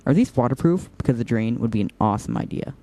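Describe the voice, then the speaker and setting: A man's voice: medium pitch, expressive, fast, warm tone, close to an everyday voice. A man playing a whimsical, teasing young operative in an audio drama, talking in a playful, mock-dramatic way.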